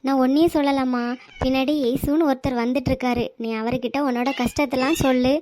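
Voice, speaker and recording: Cartoon role: kind, firm woman passerby, played by a woman, high and fast-talking. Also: steady tone, a little mellow, lightly acted. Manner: brisk, scolding but kind. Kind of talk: cartoon voice acting.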